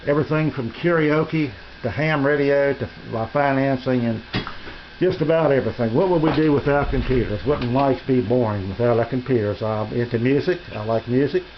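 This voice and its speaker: An American man giving a casual, unscripted tour of his radio room in a halting, rambling, folksy and polite way. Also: mid-range voice. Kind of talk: casual unscripted show-and-tell narration. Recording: dull lo-fi home video, faint noise.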